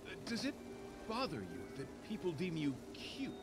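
An actor voicing a chivalrous young knight in a video game, courtly and theatrical, with flowery, earnest delivery. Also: male voice, a little high, huge pitch swings, moderate pace. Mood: admiring. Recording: game music under the voice.

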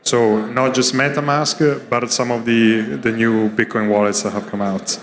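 A man in his thirties giving a conference product presentation as a company co-founder, speaking in a somewhat halting, hesitant way into a handheld microphone. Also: mid-range voice, flat delivery. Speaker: startup co-founder giving a keynote. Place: indoor conference stage. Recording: reverberant hall through stage microphone.

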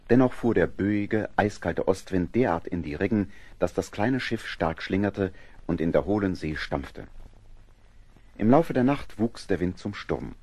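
Deep, mellow male narrator. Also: slightly rough, no echo. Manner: measured, literary storytelling. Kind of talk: scripted radio play narration.

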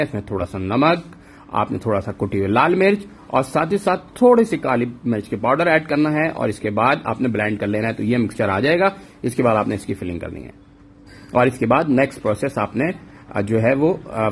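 A man's voice: medium pitch, a little hiss or hum. A man narrating a cooking recipe in an instructional voice-over, walking through each step and mixing in English words. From Pakistan. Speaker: cooking channel host.

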